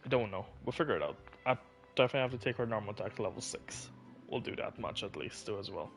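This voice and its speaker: A man with a fairly low voice chats casually as he streams a video game. Clear music plays behind him as he comments on the gameplay. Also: animated, quick pace.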